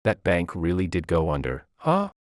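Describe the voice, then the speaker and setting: Male narrator as gentle woman, hesitant, apologetic, lively intonation, story dialogue. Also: low-pitched, moderate pace, dry recording, clean studio sound. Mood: curious.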